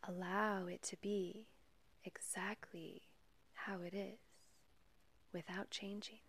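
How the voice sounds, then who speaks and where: A woman leading a guided meditation in a soft, breathy, partly whispery voice, calm and gentle, with long pauses between measured phrases. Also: medium pitch. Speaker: meditation guide and podcast host.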